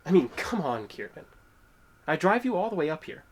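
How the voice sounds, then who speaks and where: A man's voice: on the high side, very animated, brisk, a little hiss or hum. An actor playing a resentful, unhinged young man in a horror audio drama, with an accusatory, menacing manner. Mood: bitter, resentful.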